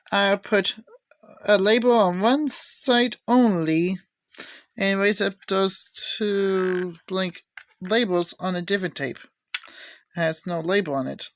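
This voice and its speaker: A woman giving a casual, unscripted show-and-tell with halting, rambling delivery. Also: mid-range voice, dry recording. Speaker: video maker showing cassette tapes.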